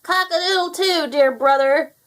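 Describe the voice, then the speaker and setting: Brief: scheming young rooster prince, taunting cackle, high and sharp; woman narrator doing the voice. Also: normal speed.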